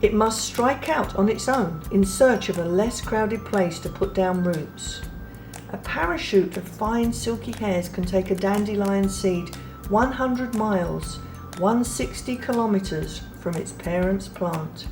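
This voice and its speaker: A woman reads a children's picture book aloud, taking her time and letting soft pauses settle between phrases. Her voice sits at an ordinary middle pitch, and clear music plays under the whole reading. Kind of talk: read-aloud storybook narration. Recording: read over clear background music.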